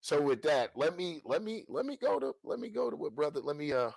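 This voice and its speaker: A man's voice: on the high side, very animated, brisk, creaky, darkish tone, no echo. Slangy, emphatic male livestream host. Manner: slangy, emphatic, repeats phrases. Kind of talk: casual talk-show monologue.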